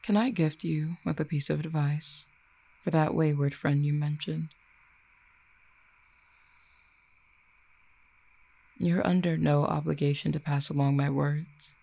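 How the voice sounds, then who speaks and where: Casting: composed, dangerous exiled cupid for audio roleplay performed by an actor, eloquent and formal, dark creaky voice of medium pitch. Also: flat delivery, no echo. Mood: composed, resolute. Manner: measured, formal, eloquent phrasing. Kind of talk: audio roleplay acting, addressed to listener.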